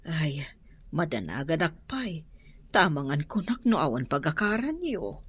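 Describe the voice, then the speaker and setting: A woman playing a kindly, concerned middle-aged mother and landlady in a radio drama, with a Filipino Ilocano accent and a husky voice. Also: low voice, big pitch swings, unhurried, full character voice. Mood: apologetic, concerned.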